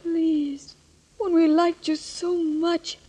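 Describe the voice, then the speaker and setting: Female innocent, wounded young woman for old radio drama, extremely high voice. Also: flat delivery, slow, dark tone. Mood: hurt, pleading. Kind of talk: 1940s radio acting. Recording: old scratchy 1940s radio recording.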